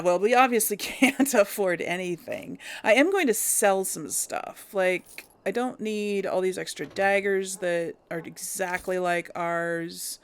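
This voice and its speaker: A woman's voice: lowish voice, vocal fry. A woman hosting a casual gameplay video, chatting and thinking aloud with frequent giggles. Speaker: let's play host.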